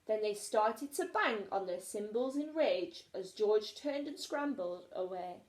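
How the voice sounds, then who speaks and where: A woman's voice: mid-range voice, lively intonation, unhurried. A woman teacher reading a children's story aloud expressively, recorded in an echoey room.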